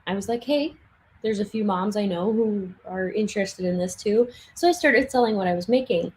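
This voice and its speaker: A young woman chatting casually on a livestream from her home craft room, laughing often and restarting sentences, her voice getting hoarse. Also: mid-range voice, normal speed, a little mellow, rough recording. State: losing her voice. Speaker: livestream speaker.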